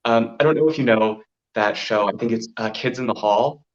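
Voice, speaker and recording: A man with a deep voice telling stories casually, as an interview guest who performs in drag. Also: a little fast. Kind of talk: casual interview chat, storytelling. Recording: dull, lo-fi audio.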